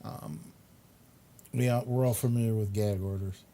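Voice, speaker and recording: A man hosting a casual chat interview, speaking in a halting, unhurried way. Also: lowish voice, steady tone, dark tone. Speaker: show host. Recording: noticeable background noise.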